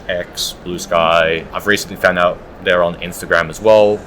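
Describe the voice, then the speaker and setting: A man's voice: low-pitched, fairly bright tone, slight background noise. A man giving conversational, explanatory answers as an audiobook producer being interviewed.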